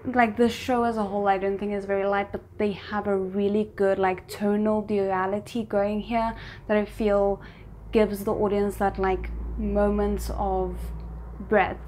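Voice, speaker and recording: A woman giving casual, spontaneous reaction commentary as a video host, thinking aloud in an animated, exclamatory way. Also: mid-range voice, unhurried, slight background noise.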